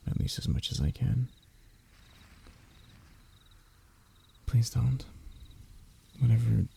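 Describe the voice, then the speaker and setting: A man playing a lovesick young royal heir in an intimate romance roleplay, stammering and hesitant, with a husky, breathy voice. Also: on the low side, mellow, exaggerated voice. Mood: hurt, resigned. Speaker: voice actor in audio roleplay.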